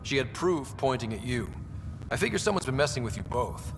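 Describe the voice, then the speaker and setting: Direct, lively young male space explorer. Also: medium pitch, a little fast, over music, poor audio. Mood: focused, casual. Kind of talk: video game voice acting.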